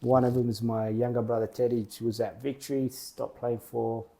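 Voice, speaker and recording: A young man, a football program co-founder, answering interview questions plainly in short, halting clauses. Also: mid-range voice, level tone, gravelly, mellow. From Australia.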